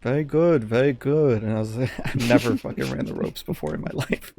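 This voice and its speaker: A man chatting casually as a podcast host, telling things in a halting, hesitant way. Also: mid-range voice, vocal fry, warm tone, a little hiss or hum.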